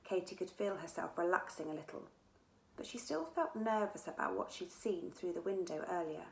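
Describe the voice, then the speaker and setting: A woman reading a children's story aloud as its author, in an expressive storytelling manner. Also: fairly low pitch, moderate pace, creaky. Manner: expressive, with dramatic character dialogue. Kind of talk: scripted children's story narration. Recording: echoey room sound.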